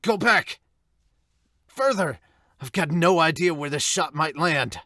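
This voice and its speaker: A man playing an excitable commentator calling a golf match in a manga dub. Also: on the high side, takes it slowly, no room sound, clean studio sound, pitched above the performer's own voice, heavily acted. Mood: tense, excited. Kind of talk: sports commentary.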